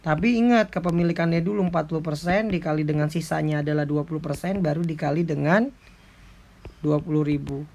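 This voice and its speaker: A man explains like an accounting lecturer in an informal tutorial, going step by step in a halting way. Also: a little high, steady tone, a little mellow. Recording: clearly noisy background with clicks.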